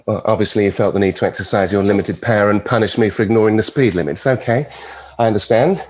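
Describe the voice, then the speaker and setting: An actor playing a suave, mischievous devil with a silky, teasing, theatrical manner at a table read. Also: male voice, fairly low pitch, fast talker, breathy edge. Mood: playful. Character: suave, mischievous devil, a retired Lord of Hell.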